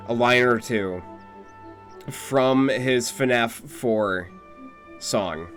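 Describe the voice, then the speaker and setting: A young man chatting casually on a game livestream, thinking aloud in a halting way. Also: mid-range voice, a bit bright. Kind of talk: casual stream chat. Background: game music.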